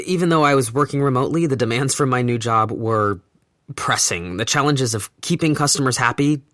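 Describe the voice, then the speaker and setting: A man's voice: mid-range voice, fairly bright tone, no room sound, clean studio sound, lightly acted. An actor as a wry, reflective young man. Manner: wry, reflective, with hesitant restarts. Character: wry man newly split from his partner. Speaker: narrator of an audio drama. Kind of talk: first-person audio drama monologue.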